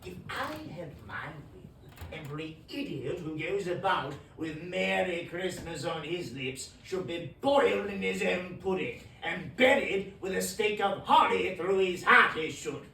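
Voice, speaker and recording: A reader doing a miserly, bitter old man who barks his scorn in loud, growling bursts, slowly, as in a read-aloud storybook. The voice sits in a roomy space, heard from back off the mic, over a faint hum of ambience. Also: mid-range voice, big pitch swings, recorded from a distance, exaggerated voice. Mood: scornful.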